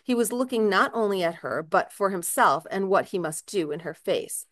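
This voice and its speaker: A woman's voice: mid-range voice, lively intonation, normal speed, a bit bright, no echo. Woman narrating a novel, very dry sound. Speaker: reader, author. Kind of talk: expressive literary narration.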